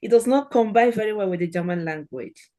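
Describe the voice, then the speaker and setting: A woman's voice: medium pitch, brisk, warm tone. A middle-aged woman with a Nigerian accent, a guest on a podcast, giving earnest encouraging advice in short phrases. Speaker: guest, German language expert. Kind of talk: podcast interview.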